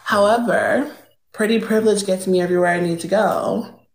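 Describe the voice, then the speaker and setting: A woman's voice: mid-range voice, a little fast, close to an everyday voice. Casting: a young woman as a spoiled, shameless rich girl, airy drawl, roomy phone audio. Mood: smug, airy. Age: young adult. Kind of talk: improvised comedic character on a livestream. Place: indoor room with pink lights. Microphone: phone front camera.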